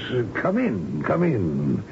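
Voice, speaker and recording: An actor playing a flustered, apologetic head doctor in a vintage radio drama, halting and solicitous, with a gravelly creak. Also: male voice, medium pitch, wildly swooping pitch, full character voice. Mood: flustered welcome. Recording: muffled noisy old radio recording.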